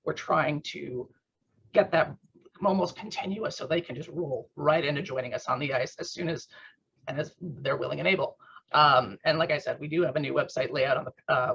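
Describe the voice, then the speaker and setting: A man with a fairly high voice runs through club announcements on a video call, loose and full of ums. His voice is gravelly and husky, strained from a workout. The call audio comes through muffled. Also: fairly quick, a bit bright, slight background noise. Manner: informal, rambling explanations with fillers. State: rough, strained voice after a workout. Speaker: club board secretary. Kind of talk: online meeting update. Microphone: computer mic on a video call.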